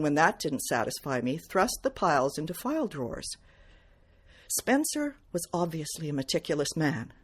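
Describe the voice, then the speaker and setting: A woman reading first-person detective fiction aloud as its author, in measured, clearly phrased narration. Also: low-pitched. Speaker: author reading from own novel.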